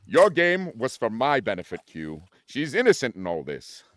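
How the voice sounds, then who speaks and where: A man reading the part of an exasperated, defiant starship captain with irreverent, forceful delivery in a sci-fi script. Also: mid-range voice, huge pitch swings, moderate pace, no room sound, studio quality. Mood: pleading, defiant.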